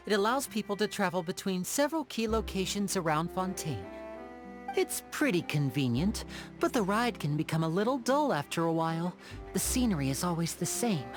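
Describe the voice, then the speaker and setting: A woman voicing an affable young male stage magician in an animated game, polite and playful. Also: medium pitch, natural voice.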